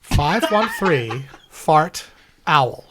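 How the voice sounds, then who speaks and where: A man's voice: a little high, sing-song, very slow, noisy background. A man hosting a casual podcast at his home studio, chatty and upbeat, laughing often. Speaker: podcast host. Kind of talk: casual chat. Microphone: studio microphone.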